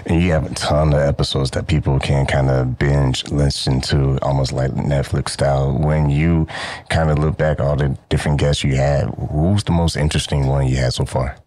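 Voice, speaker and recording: A man's voice: extremely low pitch, little pitch movement, normal speed, a little mellow, dry recording, clean studio sound. A man hosting a casual interview, putting questions in an informal, buddy-like way. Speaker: interviewer, show host.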